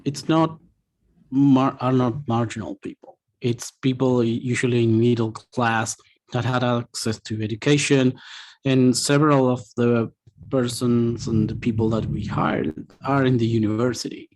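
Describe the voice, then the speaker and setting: A man's voice: mid-range voice, steady tone, unhurried, a little mellow. A man from Argentina, a native Spanish speaker, answering interview questions over a video call in English and searching for words. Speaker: company representative guest.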